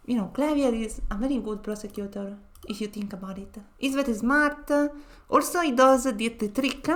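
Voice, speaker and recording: Nasal woman streamer, chatty. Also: a little high. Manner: chatty, laughs often between phrases. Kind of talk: casual let's-play commentary.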